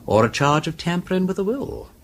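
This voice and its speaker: An actor playing an aristocratic English gentleman detective in a British radio drama, breezy and witty with an upper-class accent and clipped, old-fashioned phrasing. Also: high-pitched, very animated, brisk, slight background noise. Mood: excited deduction.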